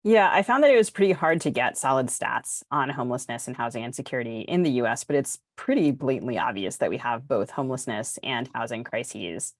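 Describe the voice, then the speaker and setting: A woman's voice: rumbling low, expressive, fairly quick, hardly any pauses, no room sound, studio quality. A woman with an American accent, hosting a podcast with introductions and discussion. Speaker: podcast host. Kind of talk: podcast interview and scripted introduction.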